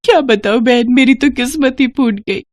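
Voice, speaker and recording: A woman's voice: sits high, moderate pace, darkish tone, no echo, clean studio sound, pitched above the performer's own voice, lightly acted. A woman voicing a devout, earnest, hardworking eatery owner in an emotional storybook manner. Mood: sorrowful.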